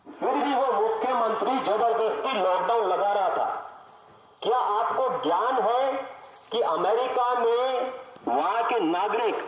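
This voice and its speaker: Echoing high-pitched man orating over a loudspeaker. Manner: emphatic, repeats phrases, rhetorical questions; exhorting, challenging. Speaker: political activist orator. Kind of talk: street election speech, oratory. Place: public address over loudspeaker. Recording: loudspeaker speech, heavy echo, some noise.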